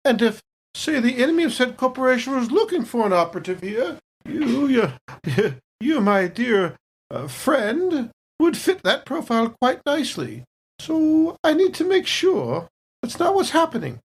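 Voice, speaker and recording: A high, lively voice with a husky edge belongs to a suspicious female agent as a woman acts her out in a tabletop game. She talks with arch, drawn-out courtesy, hesitating coyly as she schemes. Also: exaggerated voice. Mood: politely menacing. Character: Jules, suspicious, smooth-talking scheming female station agent. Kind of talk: improvised tabletop roleplay.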